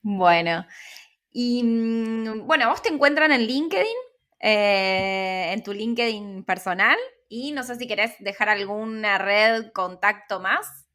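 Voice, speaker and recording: An Argentine woman hosting a podcast interview in an informal, conversational way, halting and repeating words as she goes. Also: medium pitch, expressive, sharp tone.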